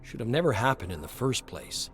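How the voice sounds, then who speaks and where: A man's voice: medium pitch, big pitch swings, fairly quick, a bit bright, soft background music. A man voicing an eager, dismissive and insistent young male hiker in a narrated story. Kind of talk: audio story dialogue.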